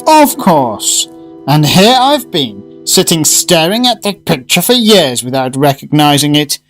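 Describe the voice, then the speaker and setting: A man's voice: on the high side, very animated, measured pace, fairly bright tone, soft background music, full character voice. An actor playing a genial, teasing man who is a regular diner, urbane and chummy, in a radio drama. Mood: surprised, sympathetic.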